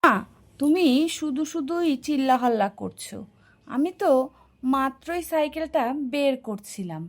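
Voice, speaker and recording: A woman's voice: high-pitched, animated, a little slow. A woman voicing a talkative, easily exasperated young son in a family cartoon, speaking insistently. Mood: aggrieved protest. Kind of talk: cartoon voice acting.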